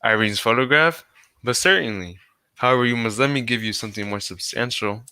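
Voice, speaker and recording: A man playing a bright, regal king. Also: medium pitch, brisk, clean tone. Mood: grateful, grand. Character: grand, grateful, impatient King of Bohemia. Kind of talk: adaptation of a 1940s radio drama.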